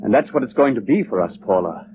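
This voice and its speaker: An actor playing a cold, self-assured, scheming killer husband, clipped and commanding, in 1940s radio style. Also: male voice, medium pitch, lively intonation, fast talker, lightly acted. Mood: smug calm. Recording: noisy, muffled 1940s radio recording.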